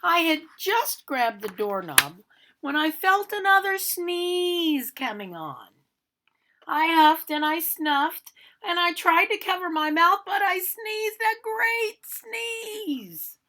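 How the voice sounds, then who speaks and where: Storybook big bad wolf, played by a woman: chatty, aggrieved, theatrical, highly animated, unhurried delivery. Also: heavily acted. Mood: self-justifying, chatty. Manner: chatty, theatrical, protesting innocence. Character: aggrieved, self-justifying male big bad wolf telling his story. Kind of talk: animated storybook read-aloud.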